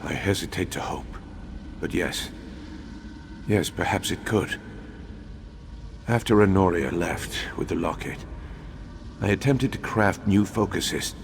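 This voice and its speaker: An actor playing a grave, remorseful undead imperial battle mage in a video game, speaking in a formal, measured, solemn way. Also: male voice, sits low, expressive, creaky, exaggerated voice. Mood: sorrowful, hesitant. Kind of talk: dramatic video game dialogue. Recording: long hollow reverb, faint background noise.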